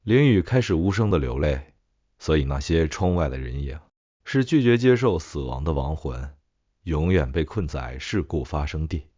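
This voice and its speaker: A man's voice: very deep voice, animated, moderate pace, mellow, dry recording, lo-fi sound. A man narrating a scripted ghost story as an audiobook.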